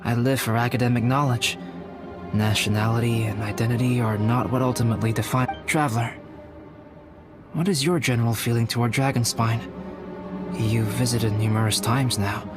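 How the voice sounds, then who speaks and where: An actor playing a calm, scholarly young alchemist in a video game, speaking in a measured, formal manner. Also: male voice, mid-range voice, over music, natural voice. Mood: grave, measured. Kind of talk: scripted video game dialogue.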